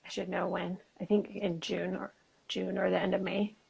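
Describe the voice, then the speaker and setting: A woman's voice: on the low side, flat delivery, moderate pace, vocal fry, a little mellow, husky, muffled recording. An American woman in a casual chat, talking in a loose, rambling way and saying "like" often. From Utah, United States. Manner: chatty, rambling, uses "like" often. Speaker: guest, illustrator and writer.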